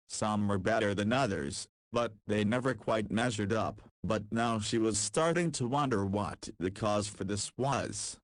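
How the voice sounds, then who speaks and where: Guy reading a visual novel story, steady and even, deep-ish, dry sound. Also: dry recording. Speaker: narrator. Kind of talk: scripted visual novel narration.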